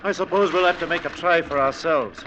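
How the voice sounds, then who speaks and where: An actor playing a weary, commanding sea captain in an old radio drama, speaking in a measured, authoritative way. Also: male voice, fairly high pitch, natural voice. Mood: grim, resolute. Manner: measured, authoritative, giving orders. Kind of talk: 1940s-50s radio drama acting. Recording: muffled old radio recording, clear sea noise.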